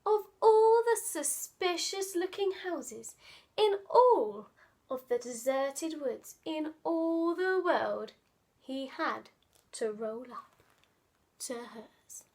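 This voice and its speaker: Lady reading a kids story, really high voice, takes it slow, playful and dramatic, goes hushed for asides. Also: lively intonation. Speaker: children's storyteller. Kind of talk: expressive read-aloud of a picture book with playful asides.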